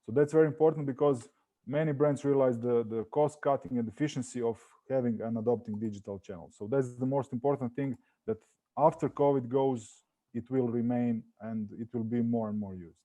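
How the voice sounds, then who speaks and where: Mellow Croatian-accented man on a business panel. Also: mid-range voice, fairly even intonation, brisk, dull audio. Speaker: business panelist, partner of a messaging app. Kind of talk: unscripted business discussion.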